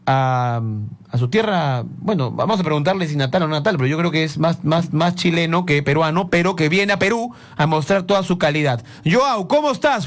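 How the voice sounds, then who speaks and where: Male sports radio host, high voice, chatty and meandering. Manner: lively, rambling questions with many restarts. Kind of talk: live radio sports interview. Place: radio studio.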